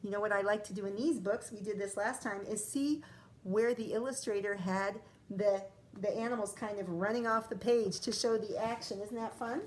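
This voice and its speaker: A woman teacher reading a picture book aloud to young children, pausing to ask questions and explain words. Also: mid-range voice, fairly even intonation, darkish tone, a little hiss or hum. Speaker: first grade teacher. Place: classroom or home, recorded for video.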